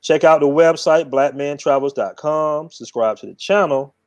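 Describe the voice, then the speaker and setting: A man's voice: on the high side, normal speed. A man hosting a casual interview show, easygoing and calling his guest brother. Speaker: show host.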